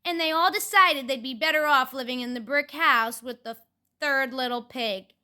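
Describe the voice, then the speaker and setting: A woman's voice: sits high, normal speed, sharp tone. A woman storyteller narrating expressively, as in a puppet show for children.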